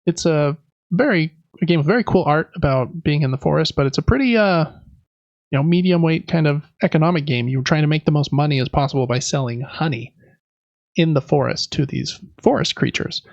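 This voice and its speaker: Gravelly, higher-pitched man hosting a podcast. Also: fairly quick, dry recording, highs cut off. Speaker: podcast host. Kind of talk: casual board game podcast chat.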